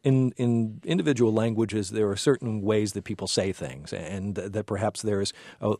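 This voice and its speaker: A male host with a fairly low voice. He asks long, meandering questions, circling back and restarting as he thinks. The recording is dry and close. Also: mellow, no echo. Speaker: interviewer host. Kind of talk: conversational interview.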